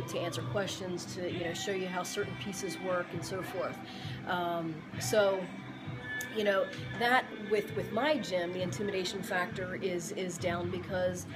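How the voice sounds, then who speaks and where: Woman, forties, gym owner, casual to-camera advice, rambling with fillers, recorded in gym, close camera. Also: on the low side. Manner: rambling, many fillers and restarts. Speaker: gym owner and fitness coach. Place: indoor gym among weight machines. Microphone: camera or phone at close range.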